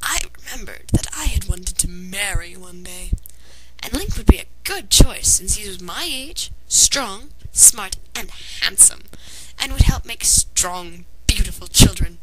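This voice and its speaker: Woman narrating over clearly noisy recording. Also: mid-range voice, animated, unhurried. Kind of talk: fan fiction story narration. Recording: noisy amateur home recording.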